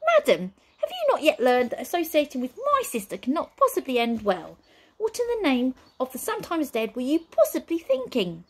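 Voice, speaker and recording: A woman narrator puts on a fussy male wizard from a storybook. The voice leaps high and swings widely, with formal, theatrical indignation and pompous exclamations. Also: huge pitch swings, a little slow, heavily acted. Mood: exasperated.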